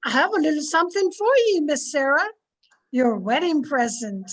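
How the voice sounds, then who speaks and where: High-pitched, devoted old Scots servant woman, played by an actress, mysterious and firm, for radio drama. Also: sing-song, normal speed. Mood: devoted, insistent. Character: devoted old Scottish maidservant, solemn and mysterious. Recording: home recording over video call.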